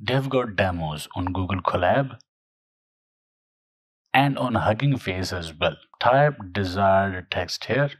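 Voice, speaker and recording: A man narrating a software tutorial in a steady, scripted, explanatory way. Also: sits low, slow pace, no echo, clean studio sound.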